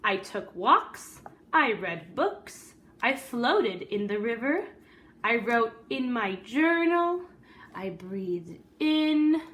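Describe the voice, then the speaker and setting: A woman voicing an earnest, weary good egg who tells his own story in a deliberate, dramatic storybook delivery. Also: fairly high pitch, sing-song, a bit bright, full character voice. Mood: calm, reflective.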